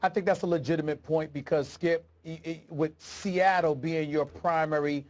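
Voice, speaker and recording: A man on a sports debate show, sniffling with congestion, laying out a point with deliberate, formal phrasing. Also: on the high side, steady tone, a little mellow.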